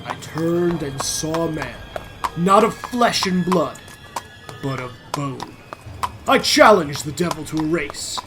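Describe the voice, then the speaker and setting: Boastful male yarn-spinner for audio drama: unhurried, theatrical, dramatic, with music underneath. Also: on the high side, expressive, rough recording. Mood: ominous bragging. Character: boastful male storyteller recounting his midnight race with a specter.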